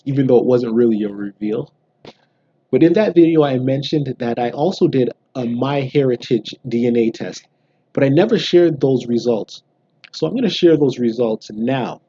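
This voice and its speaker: A man in his thirties from Canada speaks straight to the camera from a small bright room at home, relaxed and explanatory, with the odd um and restart. His voice is very dark and mellow with a husky edge. The sound is dry and a little dull. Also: medium pitch, normal speed, no room sound. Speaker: genealogy vlogger. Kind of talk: casual direct-to-camera vlog.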